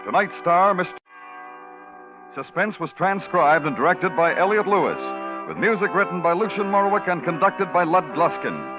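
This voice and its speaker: A man's voice: sits high, very animated, normal speed. A man announcing credits formally in 1950s radio style, on a narrowband old broadcast recording. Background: orchestral music.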